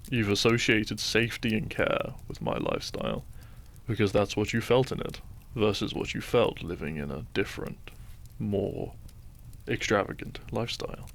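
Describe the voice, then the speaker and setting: A man playing a gentle, devoted doctor and groom in a romantic audio roleplay, soft-spoken and measured. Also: on the low side, very animated, vocal fry, full character voice. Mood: tender, reassuring.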